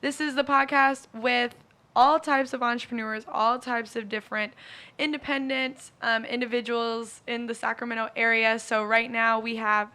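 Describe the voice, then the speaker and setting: A woman's voice: fairly high pitch, fairly even intonation, moderate pace, sharp tone, smooth. A young woman hosting a podcast, chatting casually and enthusiastically with lots of fillers. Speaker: podcast host. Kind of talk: casual interview chat.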